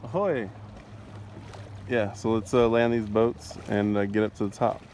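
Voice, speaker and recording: A man with a fairly low voice narrates a casual travel vlog. He talks in an offhand, rambling way. Clear outdoor noise of wind and water runs behind him. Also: fairly even intonation, a little slow, a little mellow. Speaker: vlogger. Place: outdoors on and around a lake.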